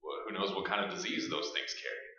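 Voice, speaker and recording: Casting: low, clear-edged male actor as brooding, insistent survivor in horror radio drama, boomy echoing hall. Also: fast talker, sharp tone. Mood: practical. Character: determined, shaken man holed up during a ghoul outbreak. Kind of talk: staged radio play acting. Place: indoor stage of a live radio play. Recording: boomy echoing stage hall, dull sound.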